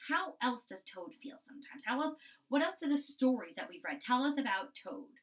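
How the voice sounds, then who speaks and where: A woman's voice: high voice, big pitch swings, moderate pace, fairly bright tone, far from the mic. A woman teaching young children through a read-aloud, explaining simply and expressively and checking in with questions.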